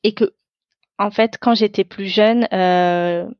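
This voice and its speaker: A woman's voice: medium pitch, steady tone, takes it slowly, no room sound, dull audio. A woman whose first language is Tamil, reflecting on her own life in an unscripted interview, searching for her words as she goes. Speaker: interview guest.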